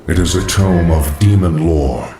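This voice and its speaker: An actor playing a cold, scheming undead lich sorcerer in a video game cutscene, with a hollow voice and formal, measured archaic phrasing. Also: male voice, sits low, dark tone, a little hiss or hum. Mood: cold, knowing. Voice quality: hollow, echoing. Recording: echoing, distant voice over music.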